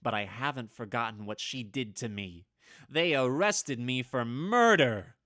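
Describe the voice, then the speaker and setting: A man's voice: medium pitch, animated, a little slow, pushed and bright, dry recording, studio quality. An actor playing a nervous, henpecked old man with a hesitant, stammering delivery in an old-time radio drama. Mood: bitter, indignant. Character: nervous, henpecked old man recalling his witch wife.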